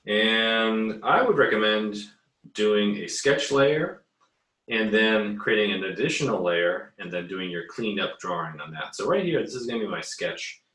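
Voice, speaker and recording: A man's voice: on the low side, lively intonation, a little slow, a bit bright. A man teaching a casual drawing tutorial as an art teacher, easygoing and hesitant, thinking aloud as he works. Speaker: art teacher giving an assignment demo. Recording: roomy, echoey, distant mic.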